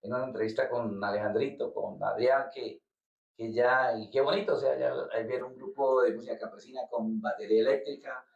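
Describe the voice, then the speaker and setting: A man from Colombia, a musician being interviewed, talking casually and haltingly, often repeating words as he searches for them. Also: mid-range voice, fairly even intonation, gravelly. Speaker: guest musician. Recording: roomy, distant, dull lo-fi sound.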